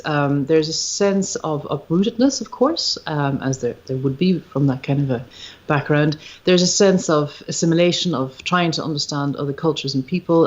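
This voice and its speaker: A woman with an Irish accent, a musician being interviewed on a podcast, speaking reflectively and searching for her words with frequent pauses and ums. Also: low voice, darkish tone. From Ireland. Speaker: guest, musician and retreat founder. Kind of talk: podcast interview, thoughtful conversation. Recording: slightly dull, lo-fi livestream audio.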